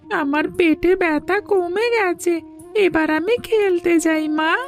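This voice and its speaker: A little cartoon schoolboy, talks in a very high, childlike voice. He pleads plaintively and slowly, clinging to his mother. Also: faint music behind, full character voice. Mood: eager. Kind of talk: cartoon folk-tale dialogue.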